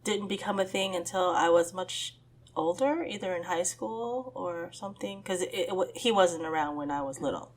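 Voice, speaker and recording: A middle-aged woman co-hosting a podcast with her daughter, her voice husky from the flu, chatting casually and laughing often. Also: lowish voice, normal speed. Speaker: podcast co-host. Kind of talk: casual chat.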